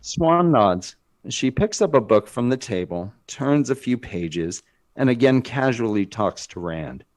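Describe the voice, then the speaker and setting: A man's voice: medium pitch, lively intonation, a little slow. A man narrating stage directions as scripted narration in a screenplay table read.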